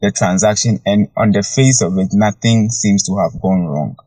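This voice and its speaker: A man's voice: fairly low pitch, normal speed, darkish tone, dry recording. A man speaking as a guest on a live audio chat, working through his point step by step with some ums. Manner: methodical, builds points step by step. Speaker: guest panelist. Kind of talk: casual discussion.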